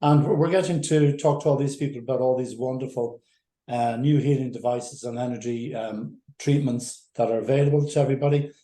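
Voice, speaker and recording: A man co-hosting a casual chat, speaking in a hesitant, halting way. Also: mid-range voice, steady tone, mellow. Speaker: co-host. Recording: dull-toned, echoing room.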